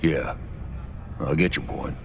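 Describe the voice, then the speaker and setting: An actor playing a world-weary, wary Martian frontier bartender in a video game, plainspoken and colloquial. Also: male voice, slow pace, a little hiss or hum, close to an everyday voice. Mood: defensive, exasperated. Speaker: bar owner character. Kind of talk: video game cutscene acting.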